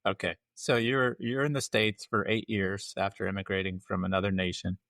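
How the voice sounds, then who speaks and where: Low-voiced man hosting a podcast. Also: level tone, vocal fry, a bit bright, no echo, clean studio sound. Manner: earnest, measured questioning. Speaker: podcast host. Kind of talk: podcast interview.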